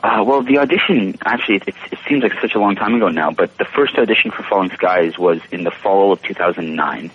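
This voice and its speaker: A young Canadian man in his late teens is being interviewed. His voice is notably low and rough-edged, with polite, halting phrasing, and it comes through on a slightly dull, lo-fi recording. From Toronto, Canada. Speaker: interview guest, young actor. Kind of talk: casual interview.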